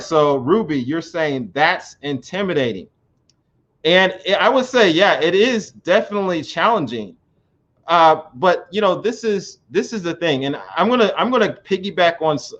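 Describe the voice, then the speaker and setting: A man's voice: mid-range voice, lo-fi sound. An American man chatting casually on a live stream, earnest and rambling, with frequent restarts. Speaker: language learner vlogger. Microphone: computer mic on live stream.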